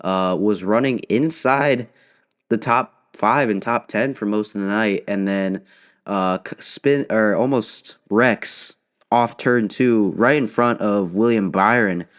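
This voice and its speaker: A man's voice: lowish voice, fairly bright tone. Sniffly young man recapping races. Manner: casual, halting, frequent fillers and restarts. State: sick with a cold, sniffling. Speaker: podcast host. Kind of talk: solo race recap.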